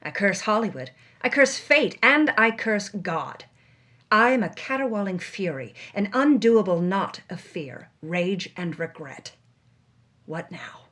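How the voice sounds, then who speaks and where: A woman's voice: mid-range voice, expressive, a little slow, a bit bright. A woman co-host giving a dramatic, scripted reading and narration. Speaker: co-host and reader.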